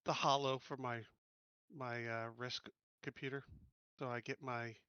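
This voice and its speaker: A man's voice: mid-range voice, little pitch movement, measured pace, bright, forceful tone, smooth, no echo. A man chatting casually as a player in an online tabletop game. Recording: slightly dull, lo-fi voice-chat audio.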